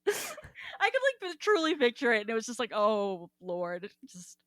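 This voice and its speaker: A woman's voice: a little high, wildly swooping pitch, normal speed, a bit bright, dry recording, clean studio sound. A woman co-hosting a podcast in casual, sweary book chat with emphatic asides. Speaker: podcast co-host.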